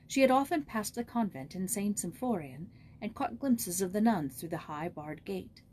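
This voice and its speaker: A woman reading a children's story aloud in expressive, scripted narration as an audiobook reader. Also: mid-range voice, moderate pace, a little hiss or hum.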